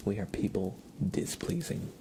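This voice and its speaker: A man doing a whispered ASMR livestream, chatting to viewers in a breathy, playful, teasing way with dry humor. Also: fairly low pitch, lively intonation, normal speed, background noise. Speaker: ASMR live streamer.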